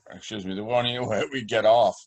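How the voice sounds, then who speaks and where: A man's voice: fairly low pitch, fairly even intonation, a little slow, a little mellow, low-quality recording. A man in a casual group discussion, putting forward questions and thoughts in a tentative way. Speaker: discussion participant asking questions.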